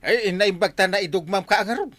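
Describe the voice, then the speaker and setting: A man's voice: high voice, quick pace, a bit bright. A man with an Ilocano Filipino accent playing an earnest, peacemaking village captain in a radio comedy-drama, exhorting expressively. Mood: earnest counsel.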